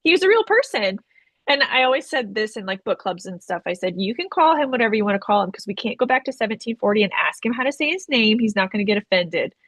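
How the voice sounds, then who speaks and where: A woman's voice: a little high, very animated, quick pace, fairly bright tone, no room sound. A woman author asking questions and telling stories in a casual video-call chat. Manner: curious, asks questions.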